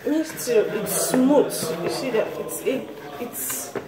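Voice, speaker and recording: Slow-paced Nigerian woman in echoey kitchen. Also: fairly high pitch. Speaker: home cook, host of a cooking channel. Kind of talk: casual cooking demonstration. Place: small tiled home kitchen, indoors. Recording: roomy, noisy home kitchen phone recording.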